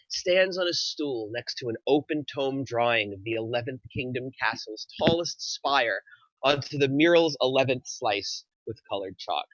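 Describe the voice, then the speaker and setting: A man's voice: medium pitch, a bit bright, no room sound. A man reading scripted stage directions as the narrator of a screenplay table read. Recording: slightly dull, dry recording.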